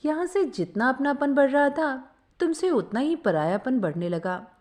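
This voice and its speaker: A woman narrating a scripted first-person story in an expressive, sentimental storytelling manner. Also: high voice, normal speed.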